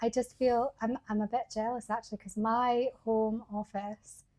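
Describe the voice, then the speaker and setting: A woman's voice: fairly high pitch, flat delivery, moderate pace, dark tone. A woman hosting a podcast, chatting enthusiastically and laughing often with her guest. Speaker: podcast host. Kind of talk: warm podcast interview.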